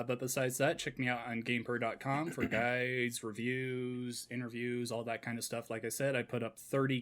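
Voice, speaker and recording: A man's voice: mid-range voice, fairly bright tone. A man co-hosting a podcast in a casual, conversational style. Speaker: podcast co-host.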